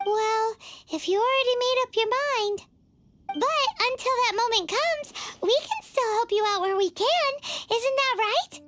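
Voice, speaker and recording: A woman voicing a tiny childlike fairy companion in a video game, squeaky, eager and cheeky, with exclamatory delivery. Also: measured pace, a bit bright, soft background music. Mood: curious, cheeky. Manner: speaks of herself in third person, exclamatory.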